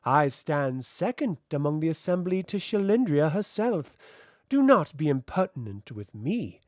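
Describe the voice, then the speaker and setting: Fantasy audiobook queen, performed by a male reader: tall, regal, icy and scornful, raised animated voice, close dry sound. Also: fairly high pitch, a little slow, no echo. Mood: icy, imperious. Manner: imperious, cold, mocking. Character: haughty, frosty, very tall otherworldly fairy queen.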